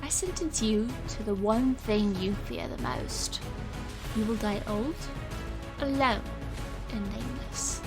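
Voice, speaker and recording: Woman playing a slow, resolute female illusionist. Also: fairly high pitch, over music. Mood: stern, commanding. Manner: deliberate, wry, dramatic. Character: weary, resolute female illusionist and supernatural enforcer. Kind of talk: dramatic audio fiction acting.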